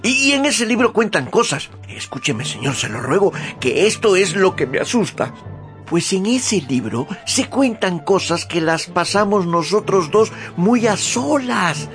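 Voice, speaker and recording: An actor playing an excitable, fearful, simple-minded squire in a radio drama, agitated and pleading. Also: male voice, high-pitched, unhurried, soft background music, exaggerated voice. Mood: frightened, pleading. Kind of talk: Spanish-language radio drama acting.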